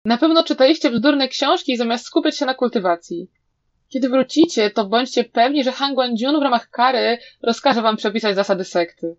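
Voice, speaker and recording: A playful, brash male cultivator from a fantasy storybook, acted out by a woman. He teases and exclaims with mock indignation, pitched a little up. The recording is slightly dull and lo-fi. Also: moderate pace, close to an everyday voice. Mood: teasing.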